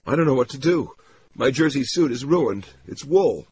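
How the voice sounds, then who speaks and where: A man's voice: medium pitch, animated, a little mellow, a little hiss or hum, voice pushed up, natural voice. A man voicing a distraught, dazed woman who speaks in disjointed riddles, in a dramatic audiobook reading. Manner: slow, painstaking, disjointed speech.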